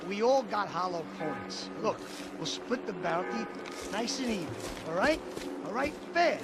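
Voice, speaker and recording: Cocky male hoodlum, high pitch, streetwise, insistent, video game dialogue, clear background music. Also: sing-song, unhurried, heavily acted. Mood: insistent, haggling. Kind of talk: casual game dialogue acting.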